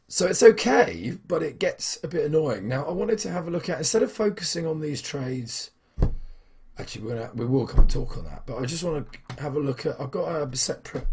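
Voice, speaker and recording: Young male vlogger, very husky hoarse voice, mid pitch, loose rambling delivery. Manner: rambling, many fillers and restarts. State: hoarse from shouting at football. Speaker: trading vlogger. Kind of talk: casual weekly vlog.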